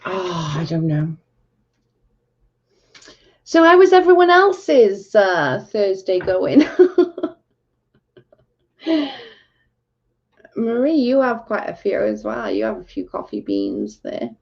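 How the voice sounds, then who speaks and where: A woman's voice: medium pitch, huge pitch swings, slow, vocal fry, long pauses, muffled recording. A Welsh woman hosting a casual livestream, talking bluntly and with exasperation to her chat. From Wales. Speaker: livestream host.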